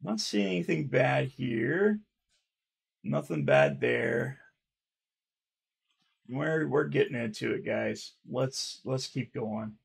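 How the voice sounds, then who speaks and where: A man in his thirties reading fan comments aloud on his channel, rambling and swearing, with a stutter he mentions himself, recorded by a webcam in a dark room at home. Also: mid-range voice, very animated, slow, vocal fry, long pauses, slightly rough. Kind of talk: casual reaction commentary.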